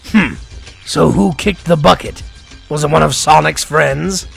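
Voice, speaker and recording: A man playing a surly, blustering mad doctor in an audio drama, with a raspy, gravelly voice. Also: fairly high pitch, measured pace, a bit bright, faint music behind, full character voice. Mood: curious. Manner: blustering, theatrical. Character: surly, demanding male mad doctor, easily alarmed. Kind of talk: amateur audio drama acting.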